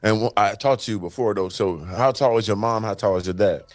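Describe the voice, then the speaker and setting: A man's voice: lowish voice, fairly quick, dry recording. A man hosting a casual interview, laid-back and slangy, swearing easily. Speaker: podcast host.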